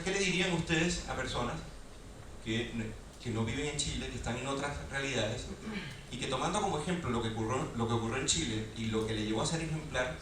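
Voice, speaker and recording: A man from Venezuela moderates a panel, hesitating often with fillers between long questions. His pitch is ordinary for a man. He sits far from the mic in a very echoey hall with faint noise.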